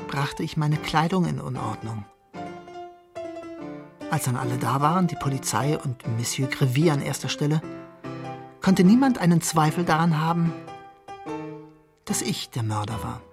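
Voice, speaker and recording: A man's voice: on the high side, moderate pace, vocal fry, pauses often. Wry condemned man, music behind. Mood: detached. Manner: detached, wry, literary. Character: condemned husband, ironic melancholy man narrating his downfall. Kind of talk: first-person radio drama narration.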